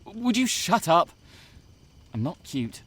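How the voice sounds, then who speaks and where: A man's voice: sits high, sing-song, slow, a bit bright, breathy edge, exaggerated voice. An actor playing a grumpy, reluctant 21-year-old male student in an audio drama, dry and exasperated.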